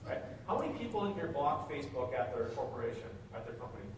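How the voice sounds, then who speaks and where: Fast-talking presenter in an echoey room. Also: male voice, medium pitch. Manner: fast, energetic, interactive. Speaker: conference speaker. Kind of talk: live presentation talk. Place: meeting room with audience. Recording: echoey room from far off with noise.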